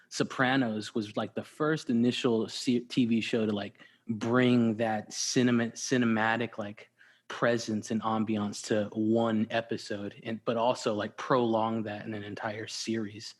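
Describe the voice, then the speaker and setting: A man's voice: on the low side, little pitch movement, normal speed. A man hosting a podcast, talking casually with lots of fillers, restarts and repeated "for sure". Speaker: podcast host. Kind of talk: casual interview chat.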